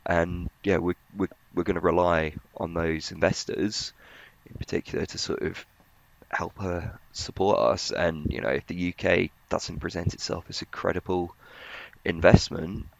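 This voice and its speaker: Very deep, creaky man, flat delivery. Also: normal speed, rough recording. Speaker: financial journalist. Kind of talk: conversational market analysis.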